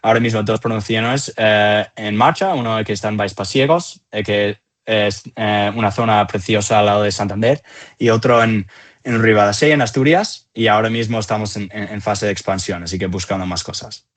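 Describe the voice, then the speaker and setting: A man in his thirties with an American accent and English as his first language, answering interview questions in halting phrases with repeated words. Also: on the low side, steady tone. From Atlanta, southeastern United States. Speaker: company founder and CEO, interview guest.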